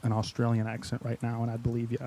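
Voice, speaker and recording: Mellow-voiced enthusiastic stream host. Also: male voice, mid-range voice, breathy edge. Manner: friendly, enthusiastic, reads chat questions. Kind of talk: casual interview chat.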